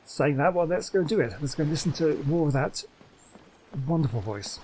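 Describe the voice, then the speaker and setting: A man's voice: fairly high pitch, lively intonation, a little fast, mellow, slight background noise, poor audio. A man hosting a gaming channel, chatting casually over gameplay in a hesitant, rambling way. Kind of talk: casual let's play commentary.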